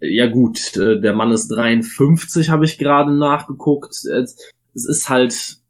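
A man's voice: medium pitch, fairly even intonation, a little slow. A man co-hosting a casual wrestling podcast, talking in an opinionated way and weighing his points with frequent fillers. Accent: German. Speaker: podcast co-host.

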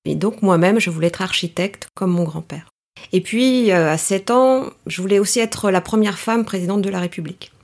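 A woman's voice: mid-range voice, normal speed, a little mellow. A middle-aged woman from Paris, thoughtful and articulate, answering as a guest in a reflective interview. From Paris, France, in a Greek family. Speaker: interview guest, executive coach.

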